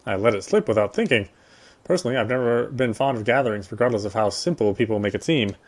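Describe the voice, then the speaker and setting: A man's voice: fairly low pitch, expressive, fast talker. A man reading visual novel narration aloud during a playthrough, sometimes stumbling and rereading a phrase. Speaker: gamer reading story text in a playthrough.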